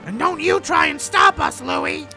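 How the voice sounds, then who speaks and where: A man's voice: extremely high pitch, wildly swooping pitch, a little slow, full character voice. A man playing a brooding, melodramatic nightclub owner in a spoof of 1940s film romance. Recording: music behind the voice.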